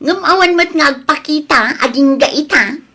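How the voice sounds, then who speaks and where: An actress with an Ilocano Filipino accent playing a concerned, scolding adult daughter in an audio drama, earnest and admonishing. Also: female voice, high-pitched, moderate pace, bright, forceful tone. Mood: worried, reporting.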